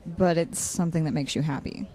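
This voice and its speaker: A woman's voice: deep voice, brisk, mellow, natural voice. A woman acting a blunt, wry and protective young friend in a naturalistic audio drama. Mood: firm, supportive.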